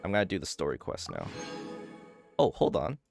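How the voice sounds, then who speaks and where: Low-voiced young man, casual gameplay commentary. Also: huge pitch swings, normal speed, vocal fry. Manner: low-key, thinking aloud. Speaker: gaming video creator. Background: game music.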